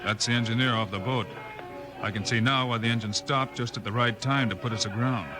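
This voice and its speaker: An actor playing a calm, commanding Old West lawman with an American accent, clipped and no-nonsense, in a 1950s radio western. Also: medium pitch, fairly quick, over music, lightly acted. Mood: firm, wry. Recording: vintage 1950s radio recording.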